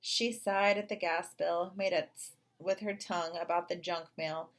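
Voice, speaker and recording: A woman's voice: lowish voice, fairly even intonation, moderate pace, a bit bright. Woman reading a children's story aloud. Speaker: storybook reader. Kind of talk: expressive read-aloud narration of a children's story.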